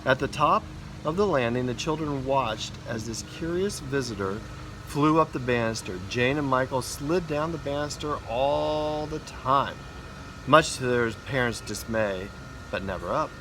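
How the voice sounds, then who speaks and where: Man reading aloud over loud outdoor noise. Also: mid-range voice, expressive, unhurried. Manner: addresses young listeners informally as "guys". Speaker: elementary school principal reading to children. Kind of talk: read-aloud of a children's storybook. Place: outdoors in a backyard. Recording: outdoor noise, vehicle and ambient hum. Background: loud outdoor ambience, vehicle noise.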